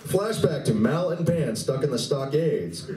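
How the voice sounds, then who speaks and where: A man's voice: medium pitch, animated, a little slow, crowd noise, natural voice. A man narrating a scripted live radio play as a wry storyteller. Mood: descriptive. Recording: roomy live venue, distant mic, faint noise.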